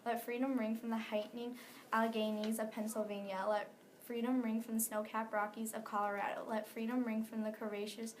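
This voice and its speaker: A woman's voice: fairly high pitch, little pitch movement, a little fast. A teenage girl, a student, reading a speech aloud. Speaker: student reader. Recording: faint background noise.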